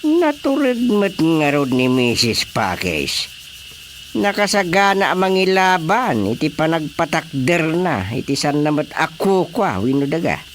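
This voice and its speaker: Excitable Filipino village chairman, swooping voice. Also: a little high, measured pace, slight background noise. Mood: excited, concerned. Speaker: radio drama actor. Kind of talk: Filipino radio drama comedy acting.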